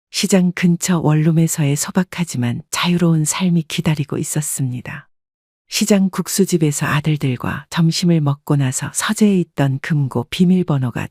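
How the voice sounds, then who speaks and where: A woman narrates a first-person story in a calm, even, reflective scripted reading. Her voice has a soft, breathy edge, and the recording is dry and close. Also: low voice, no room sound.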